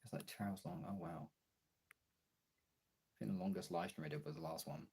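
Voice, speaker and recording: A young man with a husky voice chatting casually and informally as a livestreamer, replying to his viewers as he goes. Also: deep voice, fairly even intonation, a little fast, darkish tone. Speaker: streamer and video creator.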